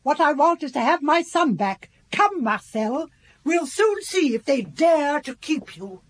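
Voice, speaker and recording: Older mother in a radio play, haughty and defiant, highish voice that swings about a lot. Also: big pitch swings, measured pace. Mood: fiercely protective. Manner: imperious, indignant, clipped. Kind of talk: British radio drama acting.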